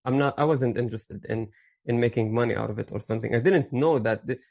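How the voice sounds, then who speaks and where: A man's voice: mid-range voice, quick pace, creaky, darkish tone. A man in his thirties from Syria, a podcast guest telling his story in a rambling way, with fillers and restarts. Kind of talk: casual interview storytelling.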